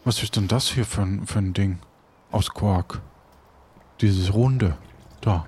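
A man improvising in an audio role-play as an easygoing seafarer, talking in a casual, halting way. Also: lowish voice, a little mellow, husky. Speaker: game narrator and player.

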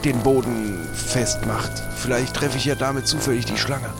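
A man's voice: medium pitch, steady tone, normal speed, over music. A man casually improvising his moves as a player in a storytelling game. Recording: noticeable background noise.

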